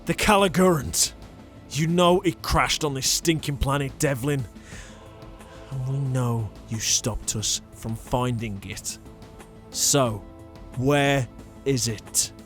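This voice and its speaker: Man playing a slow, pompous, menacing holy inquisitor. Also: medium pitch, soft background music, close to an everyday voice. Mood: stern, demanding. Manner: pompous, deliberate, formal. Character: zealous male holy inquisitor, menacing and smug. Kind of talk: dramatic audio roleplay acting.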